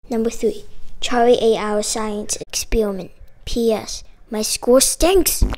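High voiced lady reading a kids picture book out loud, really expressive and emphatic. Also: slow pace. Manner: expressive, emphatic delivery with dramatic exclamations. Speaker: storyteller reading a picture book aloud with puppets. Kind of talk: animated children's read-aloud.

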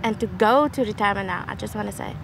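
A woman's voice: medium pitch, quick pace, gravelly, music in the background, low-quality recording. A middle-aged woman with a South African accent, a teacher, speaking fondly and earnestly about a colleague. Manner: warm praise, fond and earnest. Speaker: teacher, colleague who sent the wish. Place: outdoors on open ground, then on a phone.

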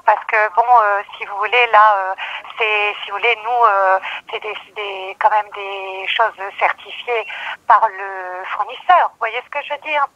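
A woman's voice: medium pitch, unhurried, pushed and bright, no echo. Woman on a dull telephone line. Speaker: pharmacy staff member. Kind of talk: customer phone conversation.

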